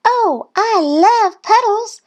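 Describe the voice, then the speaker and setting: Brief: bragging little duckling girl, very high-pitched, loud, excitable storybook character. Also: slow, heavily acted. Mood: excited, boastful. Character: boastful, loud, competitive little girl duck.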